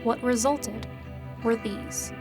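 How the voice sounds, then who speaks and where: A woman's voice: a little high, fairly even intonation, slow pace, over music. A woman reporting and narrating in the style of a travel documentary.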